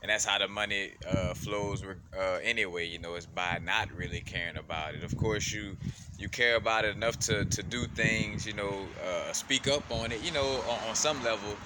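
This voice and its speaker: A man's voice: on the low side, normal speed. A man from Louisiana in a casual, rambling vlog monologue, full of fillers and laid-back slang. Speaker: spiritual vlogger. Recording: loud background noise.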